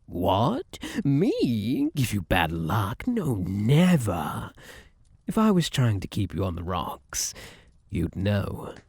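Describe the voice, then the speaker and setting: A man's voice: lowish voice, huge pitch swings, takes it slowly, gravelly, darkish tone, breathy edge, dry recording, clean studio sound, heavily acted. A man playing Luck itself as a possessive, smug, suavely menacing suitor in a theatrical ASMR roleplay, coaxing the listener with old-fashioned flowery endearments. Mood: veiled threat.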